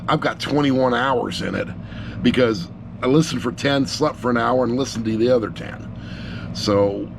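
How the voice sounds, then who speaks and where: A man's voice: medium pitch, creaky, background noise. A middle-aged man gives a casual, unscripted review in a rambling, blunt, self-deprecating way, picked up by an action camera sitting on a laptop. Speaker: amateur audiobook reviewer.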